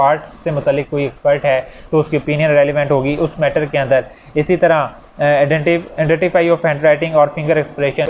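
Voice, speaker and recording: A man's voice: on the high side, slight background noise. A man with a Pakistani Urdu accent giving a conversational law lecture, explaining points through worked examples.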